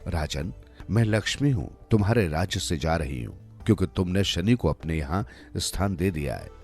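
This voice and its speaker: A man's voice: low voice, animated, normal speed, darkish tone. A man voicing solemn divine apparitions in a moral tale, declaring that they are leaving. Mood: solemn, aggrieved. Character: solemn divine apparitions, departing goddess and virtues. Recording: music under the voice.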